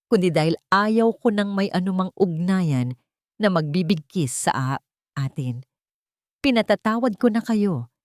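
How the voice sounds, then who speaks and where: A woman's voice: medium pitch, darkish tone, no echo, natural voice. A woman playing a wronged, dignified young wife in an audio drama, speaking solemnly and emphatically.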